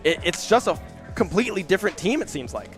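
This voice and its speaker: A young man casting an esports match, hoarse from losing his voice, talking quickly and leaning on "I mean" and "right?". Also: high-pitched, very animated, fairly bright tone. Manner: fast, hedging with "I mean" and "right?". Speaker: esports caster. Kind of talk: play-by-play commentary. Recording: faint game music behind.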